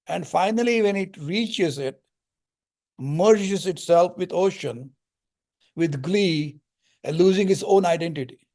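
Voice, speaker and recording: An older man with a slightly raised pitch speaks in an unhurried teaching tone. He explains spiritual ideas through homely parables and Sanskrit terms, like a lecturer guiding students. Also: a little mellow.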